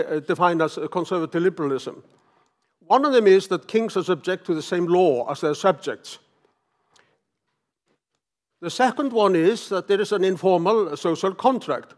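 An older man with an Icelandic accent giving a conference lecture, halting, often clearing his throat. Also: high voice, a little mellow. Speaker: professor giving a talk. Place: conference hall stage, at a lectern.

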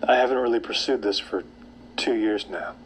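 An actor playing an obsessed, brooding man in a naturalistic audio drama, with a hesitant, halting delivery. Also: lowish voice, slight background noise, lightly acted, low-quality recording. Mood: wary, hesitant. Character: obsessed, brooding man seeking supernatural truth.

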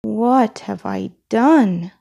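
A dramatic little boy rabbit prince with a sweet tooth, played by a woman reading a storybook. He draws out his words and exclaims them, in a slightly husky tone. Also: medium pitch, extremely slow, warm tone, full character voice. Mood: anguished.

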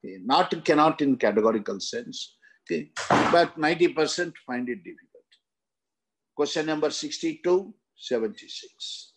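An older man with an Indian accent lecturing on exam questions in a halting, repetitive way with frequent tags. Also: on the high side, expressive, husky, low-quality recording. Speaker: exam coaching teacher. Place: indoor room, seated.

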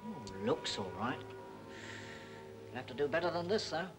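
A man's voice: fairly high pitch, very animated, normal speed. Wry English working man at the bar. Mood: sceptical, wry. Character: wry, sceptical working-class male pub regular. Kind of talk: 1940s British film acting. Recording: old 1940s film soundtrack with music.

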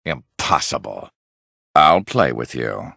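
An arrogant, godlike male villain from a fighting game. His voice is very sharp and forceful, with a creaky edge. He delivers clipped, menacing, condescending lines extremely slowly. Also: rumbling low, very animated, no room sound, clean studio sound, full character voice. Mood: cold, condescending. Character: arrogant, cold male supervillain with god complex.

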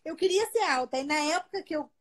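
A woman's voice: high voice, animated, fast talker. A woman in her forties from Bahia with a Bahian accent, a guest on a home video call who tells stories and laughs easily. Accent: Bahian Brazilian Portuguese. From Bahia, Brazil. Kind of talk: casual live chat interview. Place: living room at home. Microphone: phone or webcam on a video call.

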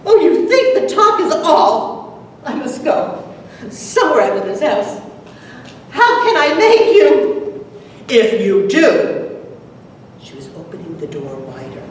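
A woman's voice: a little high, huge pitch swings, takes it slowly, pauses often, heavily acted. A middle-aged woman giving a dramatic poetry reading of a grieving married couple's quarrel. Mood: pleading, tense. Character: grieving, anguished wife and her pleading, forceful husband in a poem. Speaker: dramatic reader at a poetry event. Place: large meeting room, at lectern, far camera. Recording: echoey hall from far off, faint noise.

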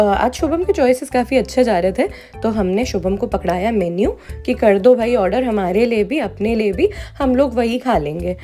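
A woman's voice: medium pitch, mellow. A woman giving a casual food review voice-over, switching between two languages. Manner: switches between Hindi and English. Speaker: vlogger reviewing a cafe. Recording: music behind the voice. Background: background music.

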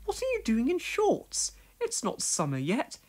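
A woman putting on a fussy, high-voiced old village lady. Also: huge pitch swings, darkish tone, full character voice. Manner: prim, chattering, quick to fret.

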